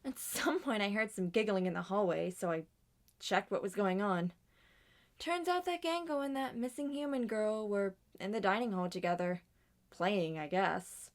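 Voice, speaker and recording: A woman's voice: medium pitch, normal speed, close to an everyday voice. Woman as killer drone, nonchalant, lazy, indifferent, roleplay drama.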